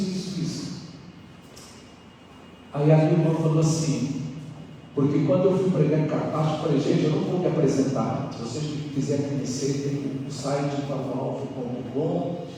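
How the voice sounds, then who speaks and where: A man's voice: fairly high pitch, dark tone. A man in his sixties from Angola with a Brazilian accent, a pastor teaching at a seminar in a conversational way, telling personal anecdotes and addressing listeners directly. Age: 60s. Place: church hall, before an audience. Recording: echoey hall, distant mic, faint noise.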